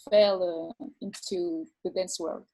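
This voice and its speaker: A woman from France, a dancer and teacher, telling her story in an interview with many pauses, fillers and repeated words. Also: fairly low pitch, fairly even intonation, mellow, poor audio. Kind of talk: video call interview.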